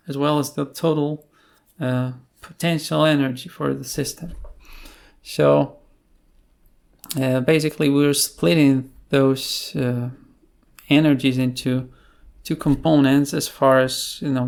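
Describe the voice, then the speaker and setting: A tutorial instructor explaining things off the cuff, in a halting way with pauses mid-phrase. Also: mid-range voice, creaky. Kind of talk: unscripted screencast tutorial explanation.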